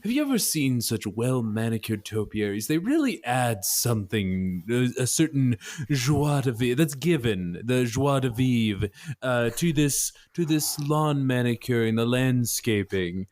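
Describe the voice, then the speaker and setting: A man's voice: medium pitch, animated, measured pace, darkish tone, no echo, lightly acted. A man voicing a tall, sly infiltrator in a tabletop game, bluffing in a flowery, affectedly cultured manner. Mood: affected admiration.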